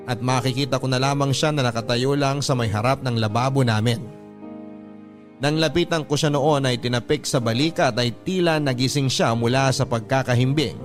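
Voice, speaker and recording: A man narrating a personal letter in a dramatic radio style, earnest and direct. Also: mid-range voice. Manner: earnest, addressing the host. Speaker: letter reader. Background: background music.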